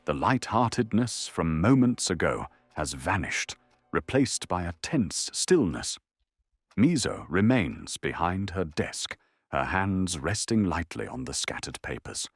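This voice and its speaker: A man's voice: low-pitched, sing-song, measured pace, dry recording, studio quality. A man narrating an anime audio drama in a dramatic, scripted storytelling style.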